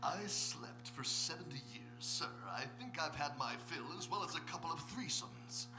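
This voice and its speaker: A man playing a quiet, weary soldier hero, understated and deadpan, in a live comedic read. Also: a little high, moderate pace, lightly acted. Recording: echoey stage, distant, music behind.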